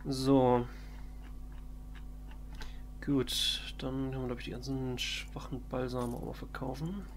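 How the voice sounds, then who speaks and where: A man's voice: mid-range voice, level tone, slow pace, long pauses, noisy background. A man doing casual, in-character let's play commentary, musing and thinking aloud. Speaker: let's player.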